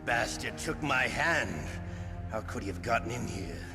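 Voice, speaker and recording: A man's voice: medium pitch, animated, unhurried. An actor playing a sly, sadistic male interrogator in a video game, mocking and relishing his menace. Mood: defensive, irritated. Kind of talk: dramatic video game voice acting. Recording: long hall-like reverb. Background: music.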